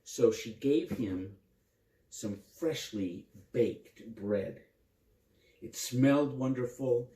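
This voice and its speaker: A man narrating a children's story in an expressive, simple way, with dramatic repetition. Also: mid-range voice, very slow, a little hiss or hum. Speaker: priest and storyteller. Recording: roomy echo, distant microphone.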